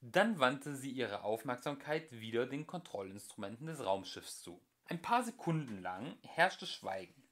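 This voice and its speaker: A man in his thirties reading a novel aloud as a homemade audiobook, shifting his voice for the characters. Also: a little slow. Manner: lively, shifts pitch and loudness for each character. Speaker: audiobook reader. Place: home room, indoors.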